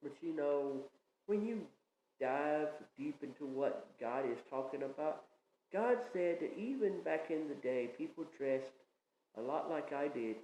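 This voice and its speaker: Southern guy in his 30s preaching, mellow voice, rambling and earnest, echoey room w faint hum. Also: fairly high pitch. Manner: earnest, rambling, plain-spoken. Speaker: pastor. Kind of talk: informal preaching monologue.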